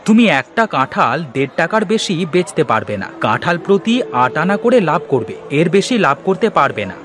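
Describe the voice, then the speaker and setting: A man voicing a kind, trusting village farmer in a Bengali cartoon folktale, with an expressive, exclamatory delivery over music. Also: a little high, brisk, some chatter behind, a little hiss or hum. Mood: generous, firm. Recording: music behind the voice.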